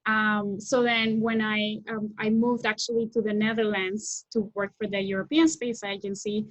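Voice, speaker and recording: A woman's voice: mid-range voice, flat delivery, normal speed, no room sound, poor audio. A woman from Colombia in her thirties, speaking as a guest on a video call, eager and talkative with many restarts and fillers. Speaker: guest, technology scout. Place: home room with window, indoors. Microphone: laptop webcam mic on video call.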